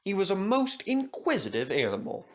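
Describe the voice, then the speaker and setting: A man narrating a scripted children's story as an expressive storyteller. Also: sits high, moderate pace.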